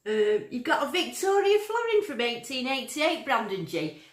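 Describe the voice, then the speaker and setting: A woman's voice: high-pitched, big pitch swings, moderate pace. Woman, Lancashire accent with a Yorkshire tinge, chatty, rambling, echoey room. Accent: Lancashire, Yorkshire-sounding. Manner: chatty, rambling, reads out viewer comments. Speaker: metal detecting livestream host. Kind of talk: casual livestream chat.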